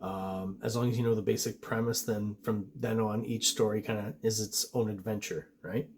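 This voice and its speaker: A man from Quebec, a native French speaker, hosting a relaxed comic book review with rambling asides and lots of fillers. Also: lowish voice, normal speed, slight background noise. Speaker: host and comic artist.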